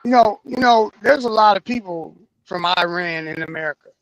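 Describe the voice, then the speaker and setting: High gravelly man from Oakland. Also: moderate pace, low-quality recording. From East Oakland, California. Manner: animated, stammering restarts, agreeing often. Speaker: morning show co-host. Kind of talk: casual talk show chat.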